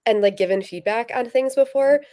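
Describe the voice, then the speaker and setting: A woman's voice: a little high, a little fast, warm tone, no room sound, studio quality. A woman chatting casually and informally as a co-host, often acting out imagined exchanges in her talk. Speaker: book vlogger and podcast-style co-host. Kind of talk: casual chat.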